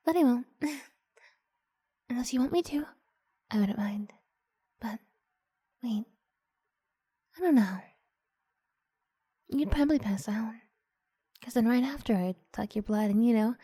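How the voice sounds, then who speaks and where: Woman playing a breathy, coaxing young vampire girl. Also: fairly high pitch, no echo, studio quality, full character voice. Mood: playful, menacing. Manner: hushed, halting, often slipping into breathy whisper. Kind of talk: ASMR roleplay, improvised.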